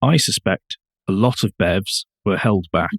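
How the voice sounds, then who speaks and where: A man's voice: low voice, unhurried, dry recording, clean studio sound. A man co-hosting a podcast, giving conversational analysis of the news. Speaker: podcast co-host.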